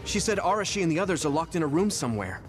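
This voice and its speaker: An earnest young soldier speaks in a fairly high, very animated voice. He is the quick-to-worry hero of an anime game, with music playing clearly behind him. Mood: earnest, puzzled.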